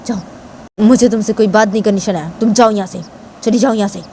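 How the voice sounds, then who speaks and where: A woman's voice: medium pitch, fairly even intonation, a little fast, mellow, a little hiss or hum, lightly acted. A woman voicing an angry, stubborn young woman in a story, scolding insistently and repeating herself. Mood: furious, dismissive.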